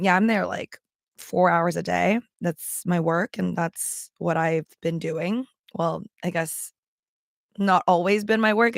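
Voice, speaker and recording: Casual female vlogger, raspy and gravelly, rambling chatter, dry close sound. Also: lowish voice, no room sound. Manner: rambling, with many ums and likes; talking around a throat candy. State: strained, worn voice. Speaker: livestream seller and vlogger. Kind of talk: casual chat to camera.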